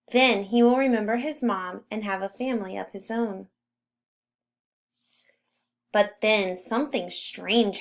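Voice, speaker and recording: A woman narrating a children's story as a read-aloud, with expressive emphasis on key words. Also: mid-range voice, gravelly. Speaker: storybook reader.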